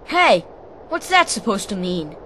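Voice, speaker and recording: A man's voice: high-pitched, sing-song, unhurried, pushed and bright, a little hiss or hum, exaggerated voice. An actor playing a spirited, confused teenage boy hero in a video game. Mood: defiant. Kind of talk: video game cutscene acting. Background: game music.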